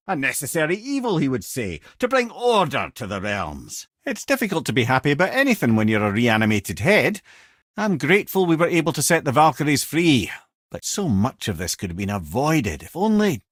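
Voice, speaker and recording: A man's voice: medium pitch, wildly swooping pitch, normal speed, fairly bright tone, no echo, studio quality. A man with a Scottish accent voicing a witty, worldly-wise reanimated head, an old storyteller in a video game who talks in wry, eloquent, old-fashioned turns of phrase. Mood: rueful.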